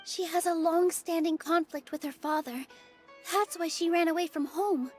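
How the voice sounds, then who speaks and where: An actress voicing a caring young female dancer in a game, gentle yet encouraging. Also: extremely high pitch, fairly even intonation, moderate pace. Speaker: game character. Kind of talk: video game voice acting. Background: soft game music.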